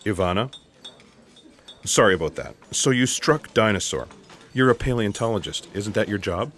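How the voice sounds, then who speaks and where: An actor as an earnest male special agent in a comic sci-fi audio drama. His voice is low. He speaks with courteous, deadpan calm and awkward frankness. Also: normal speed. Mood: polite. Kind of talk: comedic sci-fi audio theatre acting.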